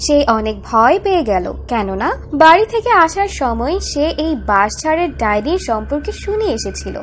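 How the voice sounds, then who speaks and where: High, lively female storyteller. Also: normal speed, faint music behind. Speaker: narrator. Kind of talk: dramatic scripted fairy-tale narration.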